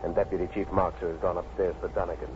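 An actor as a grave, urgent narrator, delivering scripted present-tense narration in a 1950s radio suspense drama. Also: male voice, sits low, flat delivery, very fast, background noise, lightly acted. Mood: grave, suspenseful. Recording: muffled 1950s radio recording over music. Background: dramatic music.

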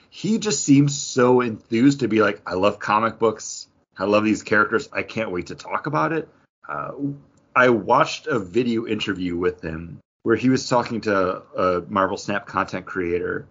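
Male podcast host, low and slightly husky, rambling enthusiast, casual games chat. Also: a bit bright, muffled recording.

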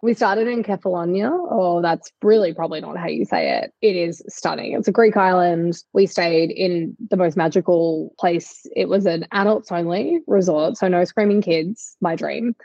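A woman's voice: lowish voice, normal speed, creaky, hardly any pauses, mellow, no room sound, highs cut off. A woman hosting a podcast in casual chat, talking in an informal, gushing, chatty way. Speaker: podcast host.

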